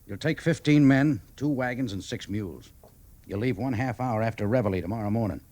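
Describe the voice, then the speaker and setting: An actor playing a wry, authoritative cavalry major in a classic radio drama, speaking in a measured way. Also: male voice, mid-range voice, mellow, natural voice. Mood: commanding. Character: wry, weary male cavalry major, commanding officer. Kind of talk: classic old-time radio drama acting.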